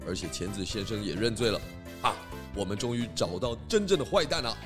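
A man playing a clever pig detective, lowish calm voice, storybook drama, music behind. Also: a little fast. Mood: confident. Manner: calm, explaining, hesitant fillers when cold. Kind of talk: children's audio drama acting.

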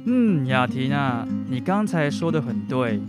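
A very animated father played by a man gives good-humoured reassurance in a radio play. Loud music runs behind his voice. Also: mid-range voice, measured pace. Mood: reassuring. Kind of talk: radio drama acting.

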